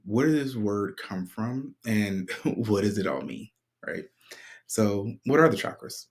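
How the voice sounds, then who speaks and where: A man hosting a spiritual podcast in a casual, conversational way, clearing his throat often while his voice recovers. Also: on the low side, animated, a little slow. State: recovering from a sore voice. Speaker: podcast host.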